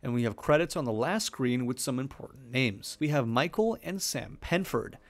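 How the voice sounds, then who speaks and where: A man's voice: medium pitch, lively intonation, normal speed. A man narrating a scripted explainer video as its presenter.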